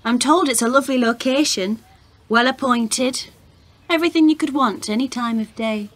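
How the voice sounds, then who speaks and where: A woman playing a dry, stoical, plain-spoken young woman in a radio play, with deadpan delivery. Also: fairly high pitch, normal speed, natural voice. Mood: wry. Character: dry, pragmatic young working-class woman, wry and stoical.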